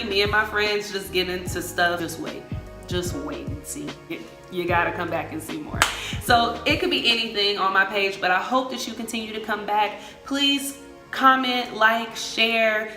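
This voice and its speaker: An American woman in her late twenties chatting casually and expressively to viewers as a travel vlogger, in colloquial language. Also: mid-range voice. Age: late 20s. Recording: echoey room, music underneath.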